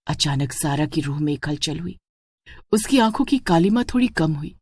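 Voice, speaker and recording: A woman's voice: sits low, lively intonation, normal speed, a little mellow, slightly rough. A woman giving a dramatic scripted narration of a horror story.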